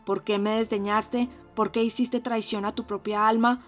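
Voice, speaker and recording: A woman reading an audiobook voices a fierce, anguished young man, speaking loud, harsh and accusing. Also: fairly high pitch, level tone, moderate pace, soft background music, natural voice. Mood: bitter accusing.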